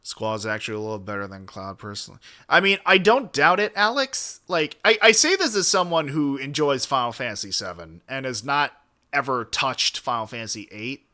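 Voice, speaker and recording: A man's voice: mid-range voice, sing-song, a little fast, bright, forceful tone. A man giving casual, opinionated commentary as a streamer, talking emphatically and wandering into digressions. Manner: emphatic, digressive, frequent swearing. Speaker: streamer reacting to a video.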